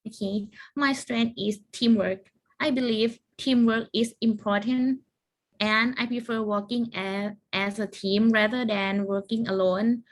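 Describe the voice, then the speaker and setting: A young woman student answers mock job interview questions over a video call. Her voice sits fairly high, and she speaks earnestly, a little haltingly, with small "like" fillers. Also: steady tone, rough recording. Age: young adult. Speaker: university student.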